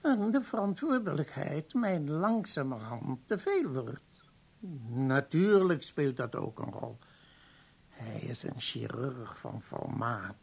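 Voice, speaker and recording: A man's voice: mid-range voice, big pitch swings, slow pace, gravelly, darkish tone, husky, full character voice. An actor playing a kindly, wistful professor in his seventies in a radio play, hesitant and courteous as he rambles on. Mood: warm, confiding. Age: 70s. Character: kindly, wistful old professor heading a provincial hospital. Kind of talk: Dutch radio play acting.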